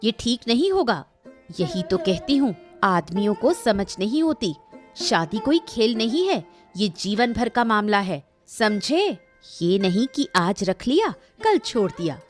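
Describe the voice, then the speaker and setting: A woman's voice: mid-range voice, big pitch swings, unhurried, soft background music. A woman voicing a proud, status-minded middle-aged village wife in a cartoon story, sarcastic and lecturing. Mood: disapproving. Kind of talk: Hindi cartoon moral story dialogue.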